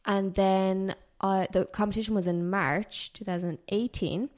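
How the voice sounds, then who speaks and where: A woman's voice: mid-range voice, fairly even intonation, measured pace, a little mellow. A woman, a podcast guest chatting casually and rambling a little as she corrects herself. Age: 20s. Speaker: podcast guest, personal trainer. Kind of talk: casual chat.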